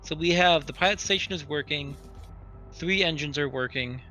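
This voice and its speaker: Bright-voiced man playing a tabletop game. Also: fairly high pitch, normal speed, soft background music. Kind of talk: casual game-table talk.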